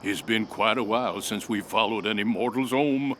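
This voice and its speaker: A man's voice: fairly low pitch, moderate pace, a bit bright, a little hiss or hum, natural voice. A man voicing a cautious, wry hitchhiking ghost with a folksy, worried manner in an audio drama. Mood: wry, wary. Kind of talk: theatrical audio drama acting.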